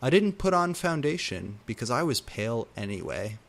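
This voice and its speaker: A man reading fan fiction aloud in a deliberate, theatrical way with exaggerated emphasis. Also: medium pitch, very animated, creaky, fairly bright tone. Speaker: reader.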